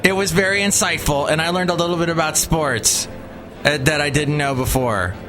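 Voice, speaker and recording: A man's voice: a little high, normal speed. A man hosting a podcast, chatting casually and joking playfully with his co-host. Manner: playful, jokey, lively. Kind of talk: casual chat.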